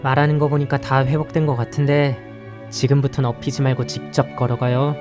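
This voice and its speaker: A man's voice: medium pitch, flat delivery, fairly quick, lightly acted. Man acting a sarcastic young junior over music. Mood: grumbling. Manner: dry, polite but talking back. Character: grumbling, sarcastic young male junior exorcist. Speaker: voice actor in a visual novel. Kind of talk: dramatic character dialogue.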